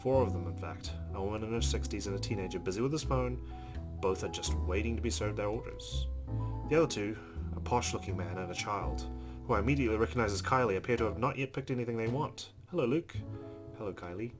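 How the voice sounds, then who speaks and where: A man's voice: lowish voice, fast. A man hosting a let's play, reading visual novel narration aloud and adding casual commentary. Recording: game music behind the voice. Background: game music.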